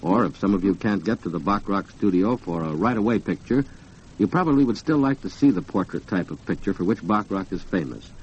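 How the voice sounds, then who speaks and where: An American man working as announcer and co-host on a 1940s radio program, with a polite, measured delivery. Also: lowish voice, darkish tone, husky. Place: radio studio. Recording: muffled, noisy 1940s radio broadcast recording.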